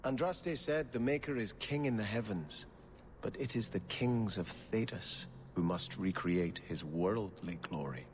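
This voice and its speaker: Pious exiled prince, low voice, measured, earnest, video game drama, noisy ambience. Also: male voice, expressive. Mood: earnest, conflicted. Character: devout exiled prince torn between faith and throne.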